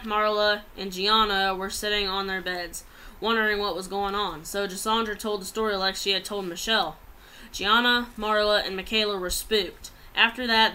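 A woman's voice: medium pitch, pushed and bright, clean tone, poor audio. A young adult woman reads a written story aloud plainly, stumbling over some words, in a small room at home on a laptop microphone. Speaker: amateur writer reading own story.

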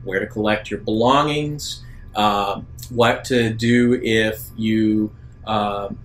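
A man working as a game master, improvising narration for a tabletop game in a halting, deliberate way. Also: steady tone. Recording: rumbly background noise.

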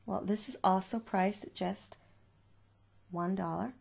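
A woman in her thirties doing a soft-spoken ASMR shop roleplay, gently reassuring and repeating words for effect. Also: medium pitch, fairly even intonation, measured pace, vocal fry. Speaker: ASMR performer playing a shop assistant. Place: small room indoors.

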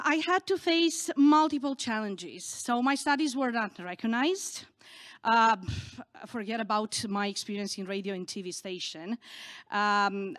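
A middle-aged woman, a native Romanian speaker, giving a candid, earnest personal story from a conference stage into a podium microphone, a little halting. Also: medium pitch, a bit bright, dry recording.

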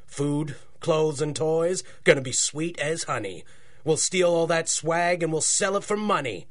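A man's voice: fairly high pitch, animated, measured pace. An actor playing a villainous, greedy gangster boss in a rhymed radio drama, talking like a slangy tough guy. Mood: greedy, gloating.

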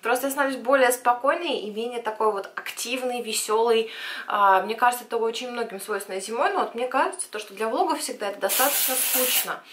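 A woman in her twenties with a slightly husky, worn voice, chatting casually to the camera as a vlogger. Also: on the high side, fast, fairly bright tone, slight background noise. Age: 20s. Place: indoors, small room at home.

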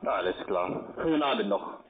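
A man's voice: lowish voice, animated, normal speed, slight background noise. A man with a foreign accent in German, arguing curtly on a phone call as a call center agent. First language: not German. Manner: curt, confrontational. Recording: tinny phone line, busy call center.

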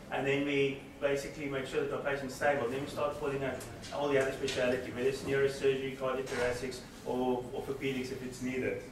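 A man in his forties with a South African accent giving an informal conference lecture from a lectern, with many ums and restarts. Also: medium pitch. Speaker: trauma surgeon giving a keynote. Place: darkened lecture room, standing at a lectern. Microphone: lectern mic picked up by a distant camera. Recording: echoey room, far camera, steady background noise.

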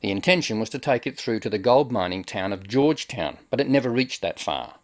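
A man's voice: fairly low pitch, lively intonation, brisk. A man narrating a travel documentary in a scripted way, with wry asides. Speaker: travel show host and narrator.

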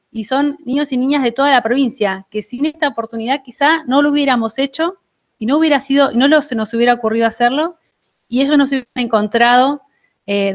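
A woman in her thirties with an Argentine accent, giving an informal presentation over a video call. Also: fairly high pitch. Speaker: education programme presenter. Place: indoor room at home.

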